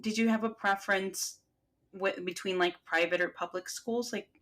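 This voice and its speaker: A woman's voice: medium pitch, fairly even intonation, normal speed, a bit bright. Chatty woman hosting a podcast. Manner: chatty, fillers like "like" and "kinda". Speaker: podcast host. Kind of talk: casual interview hosting.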